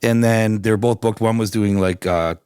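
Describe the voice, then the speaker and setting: Raspy canadian guy, kinda low voice, rambling chat, lots of ums and restarts. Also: fairly even intonation, moderate pace, darkish tone, no echo, clean studio sound. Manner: rambling, many restarts and fillers. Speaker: singer-songwriter guest. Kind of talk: casual interview chat.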